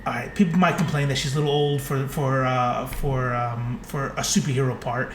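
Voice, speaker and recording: A man's voice: mid-range voice, fairly even intonation, normal speed, a little hiss or hum. A man in his late thirties co-hosting a podcast, talking casually in a rambling, enthusiastic way. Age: 30s. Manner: enthusiastic, rambling, many fillers. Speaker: podcast co-host. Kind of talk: casual chat. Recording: echoey room.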